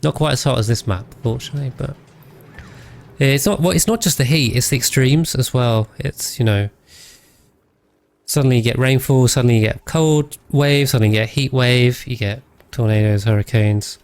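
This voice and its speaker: A British man with a calm, measured voice, giving casual game commentary and chatting with viewers as he streams. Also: medium pitch, no echo. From Britain. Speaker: live streamer, host.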